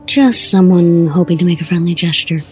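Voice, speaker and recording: An actress playing a silky, scheming female crime boss in an audio drama, coy and smug with measured delivery. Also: lowish voice, darkish tone, faint music behind, close to an everyday voice, poor audio.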